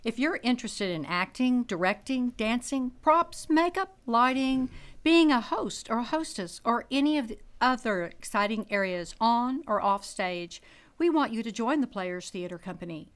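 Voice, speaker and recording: A woman's voice: a little high, lively intonation, normal speed, clear, resonant tone. A woman announcer delivering a scripted promotional appeal, inviting listeners in a community spot.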